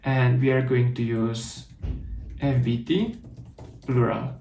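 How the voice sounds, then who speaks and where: A man's voice: medium pitch, fairly even intonation, slow pace, dark tone, reverberant, a little hiss or hum. A man with a Czech accent giving a methodical conference talk, walking through code in a live demo. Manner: explanatory, methodical, with restarts. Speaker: conference speaker.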